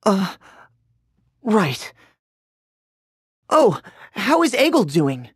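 A woman's voice: mid-range voice, very animated, very slow, husky, no echo, clean studio sound, full character voice. A woman playing an earnest, bewildered young hero in a fantasy video game, with an emotive, hesitant delivery. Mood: confused.

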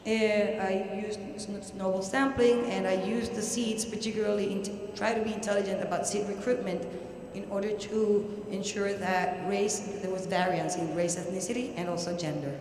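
A middle-aged woman with a Puerto Rican accent giving an academic lecture at a podium, halting but emphatic. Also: mid-range voice, steady tone, a little mellow. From Puerto Rico. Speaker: researcher presenting at an academic conference. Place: large indoor university hall, at a podium. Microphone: podium microphone. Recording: very echoey hall, audible background noise.

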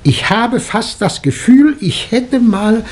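An actor playing an inquisitive doctor and amateur sleuth in a 1960s German radio play. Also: male voice, extremely high pitch, very animated, takes it slowly, a little mellow, exaggerated voice. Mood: musing. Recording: old vinyl record with surface noise.

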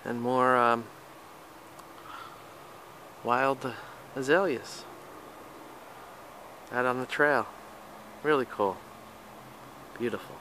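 Bright-voiced man narrating a hike. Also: medium pitch, very slow, pauses often, slight background noise. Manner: relaxed, admiring, speaks to camera while walking. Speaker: hiking vlogger. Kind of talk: casual hiking commentary. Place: outdoors in scrubby woods and swamp trails. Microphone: handheld camera while hiking.

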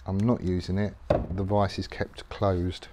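Man, middle-aged, low voice, casual and rambling, garage workshop, faint noise. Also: flat delivery, a little slow, warm tone. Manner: rambling, matter-of-fact, lots of ums and restarts. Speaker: workshop vlogger. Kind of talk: casual demonstration while working.

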